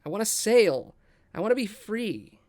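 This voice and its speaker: A man's voice: sits high, big pitch swings, normal speed, a little mellow, no echo, studio quality, pitched above the performer's own voice. A man voicing a spirited, defiant young girl who longs for adventure in a script table read, earnest and pleading. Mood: defiant longing.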